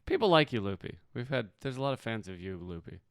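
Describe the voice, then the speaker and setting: A man hosting a casual political podcast, his voice smooth and resonant in a dry, close studio sound. He jokes and swears freely and laughs often between points. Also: mid-range voice, big pitch swings, quick pace, no room sound. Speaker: podcast host.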